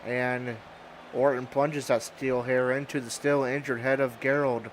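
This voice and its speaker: A man giving play-by-play commentary over a wrestling video game, calling the moves in an even, unhurried way. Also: medium pitch, crowd noise. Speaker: wrestling announcer.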